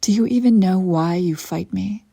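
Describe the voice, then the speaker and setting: A woman playing an imperious evil empress in an audio roleplay, coolly seductive and menacing, with theatrical, deliberate phrasing. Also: mid-range voice, vocal fry, dark tone, dry recording, clean studio sound. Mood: taunting, intimate. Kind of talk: scripted audio roleplay acting.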